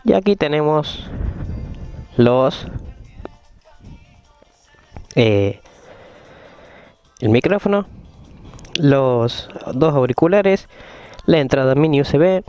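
An Argentine man giving a casual hands-on tutorial in a halting, explanatory way, rewording as he goes. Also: fairly high pitch, lively intonation, dark tone, smooth, soft background music. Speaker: motovlogger. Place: room at home, indoors. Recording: dull, lo-fi sound.

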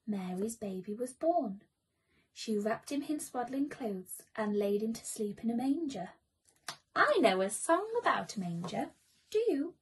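A young woman performs as a children's storyteller. Her voice is high and very animated, yet unhurried, with a soft husky edge and theatrical asides to the listener. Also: a little hiss or hum, poor audio. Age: young adult. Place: small room at home.

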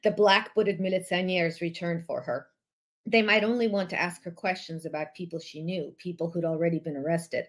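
A woman from the former Soviet Union whose first language is Russian, reading an excerpt from her historical novel over a video call from a small room at home, at a measured pace with occasional self-corrections. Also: fairly low pitch. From Odessa, then USSR. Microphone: laptop webcam mic on video call.

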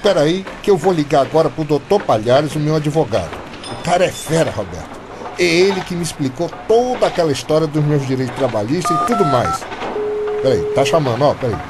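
An actor playing a chatty, trusting Brazilian builder in a radio soap, eager, friendly and colloquial. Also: male voice, a little high, expressive, fairly quick, music in the background, lightly acted. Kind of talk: radio soap opera acting.

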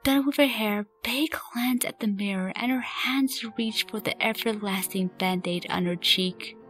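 A woman's voice: mid-range voice, unhurried, a bit bright. A woman reading scripted story narration as the narrator of a fan radio play. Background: music.

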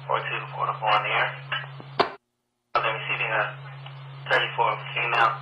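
Monotone, very sharp male police radio dispatcher. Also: on the low side, normal speed, dull audio. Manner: clipped, procedural. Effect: two-way radio. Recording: echoey, noisy police radio transmission.

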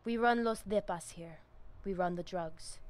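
A woman's voice: on the low side, measured pace, close to an everyday voice. A woman playing a stern vampire gang boss in a video game, firm and menacing. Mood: proud, commanding. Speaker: vampire gang leader character. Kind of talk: scripted video game dialogue.

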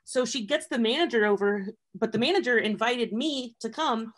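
A man with a high voice hosting a casual interview, chatty and eager with questions. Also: moderate pace, a bit bright. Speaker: host.

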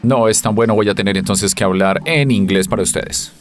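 Low-voiced male conference interpreter. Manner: halting, with pauses and self-corrections. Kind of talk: simultaneous interpretation of a technical conference talk.

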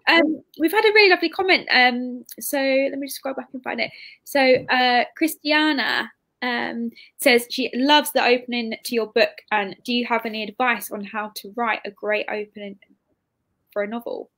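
A woman's voice: a little high, normal speed, fairly bright tone. A woman, hosting a casual interview on a video call in an informal, slightly hesitant way. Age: 20s. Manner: informal, hesitant, often says "like". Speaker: interview host, bookshop assistant manager. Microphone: computer mic on video call.

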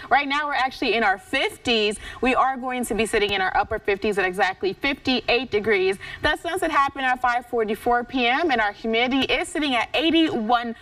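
A woman's voice: a little high, a bit bright, no room sound, recorded from a distance. A young woman presenting a local TV weather forecast from a studio, upbeat and chatty, with the odd slip and self-correction. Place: TV studio in front of a weather wall.

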